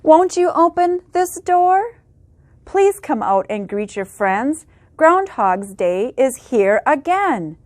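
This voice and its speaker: A woman's voice: high voice, big pitch swings, takes it slowly, darkish tone, no echo, exaggerated voice. A woman voicing a coaxing, pleading male mayor in a picture-book story.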